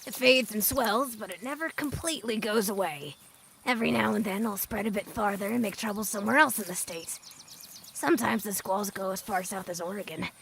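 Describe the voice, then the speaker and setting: A woman playing a centuries-old female ghoul, a gruff, jaded wasteland guide with a creaky voice and a blunt, dry manner, in an audio drama. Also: medium pitch, a little fast, a bit bright, a little hiss or hum. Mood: exasperated. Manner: blunt, dry, world-weary.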